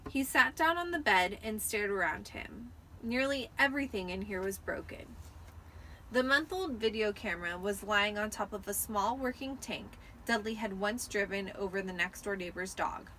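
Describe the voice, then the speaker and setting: A woman's voice: medium pitch, bright, forceful tone, a little hiss or hum, poor audio. A tipsy woman giving a dramatic amateur audiobook narration. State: tipsy from wine.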